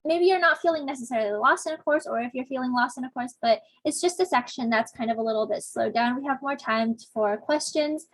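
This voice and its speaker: Young woman TA on a video call, chatty and self-deprecating, slightly high husky voice, dry sound. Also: brisk, few pauses, no echo, poor audio. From Southern California. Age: young adult. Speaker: teaching assistant. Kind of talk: casual online discussion section intro.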